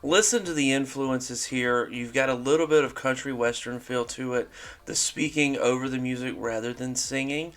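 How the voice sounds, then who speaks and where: A man's voice: medium pitch, fairly even intonation, normal speed, fairly bright tone. A man hosting a music reaction show, chatting casually and upbeat between his comments. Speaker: host of music reaction channel.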